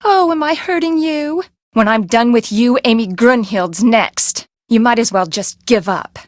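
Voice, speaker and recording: A woman's voice: a little high, unhurried, no room sound, clean studio sound, close to an everyday voice. A woman voice actor playing a haughty, hot-tempered, eccentric woman with a melodramatic delivery in a video game. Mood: taunting.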